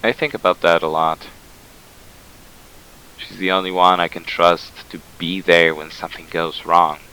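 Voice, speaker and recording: A somber, haunted man , played by an actor, confides in a deep, smooth voice. He speaks very slowly and measuredly, brooding like someone recording a private confession in a horror story. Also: fairly even intonation, sharp tone, heavily acted, low-quality recording. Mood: somber, resigned. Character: somber, haunted male facility worker confiding. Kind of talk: scripted horror monologue.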